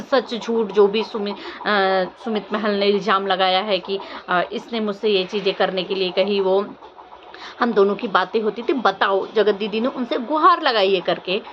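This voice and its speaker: A young woman gives casual opinion commentary to viewers, insistent and questioning, often repeating her phrases. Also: medium pitch. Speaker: vlogger. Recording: muffled lo-fi audio, faint background noise.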